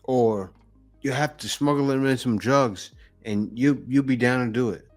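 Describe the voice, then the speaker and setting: A man's voice: medium pitch, a little slow, soft background music. A man hosting a reaction video, giving blunt, mocking, teasing commentary in a casual way.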